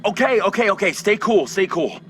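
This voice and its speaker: A man's voice: sits high, expressive, a little fast, a bit bright, faint music behind. A man playing a panicked modern young man in a video game, urgent and agitated in colloquial speech. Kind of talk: dramatic video game cutscene acting.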